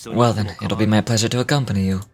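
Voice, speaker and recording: An actor playing a composed, courteous, erudite gentleman consultant in a fantasy game, speaking formally and with measure. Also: fairly low pitch, fairly even intonation, quick pace, lightly acted. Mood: courteous, calm.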